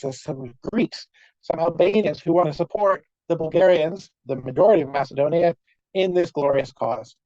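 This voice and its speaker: A scholar presents a lecture to an online symposium, using a mellow, dark-toned voice, wry understatement and lots of "uh" and "um" restarts. The sound is dull and lo-fi, picked up close on a computer mic in a dead, dry room. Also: mid-range voice, no echo. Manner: wry, dry humour with frequent fillers and restarts. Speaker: conference speaker. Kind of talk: academic lecture. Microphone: computer mic on a video call. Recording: dull, lo-fi video call audio.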